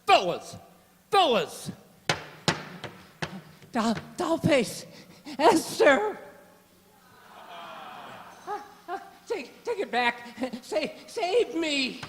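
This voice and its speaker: Guy doing flustered old radio gangster henchman, really high voice, slow, big echoey hall. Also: very animated, noisy background, heavily acted. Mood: panicked, pleading. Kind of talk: 1930s gangster radio comedy acting. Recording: echoey hall, long reverb.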